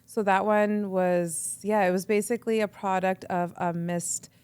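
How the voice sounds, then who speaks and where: Chatty woman with a smooth, crisp voice. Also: mid-range voice, steady tone, darkish tone, no echo. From Canada. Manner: chatty, quick, says "like" often, acts out her own thoughts. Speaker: guest, radio entrepreneur. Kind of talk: casual podcast interview.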